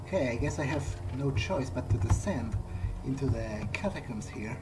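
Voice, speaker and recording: Guy roleplaying thru a video game, kinda halting, talking to himself, medium pitch, loud music behind. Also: animated. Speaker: gamer doing an in-character playthrough. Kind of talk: in-character game commentary, thinking aloud.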